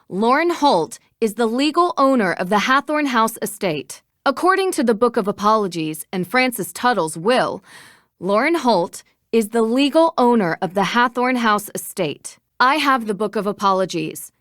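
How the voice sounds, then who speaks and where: A woman playing a poised young female amateur detective in a video game, speaking with an American accent in a measured, articulate, matter-of-fact way. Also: on the high side, dry recording, clean studio sound, natural voice. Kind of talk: scripted game dialogue.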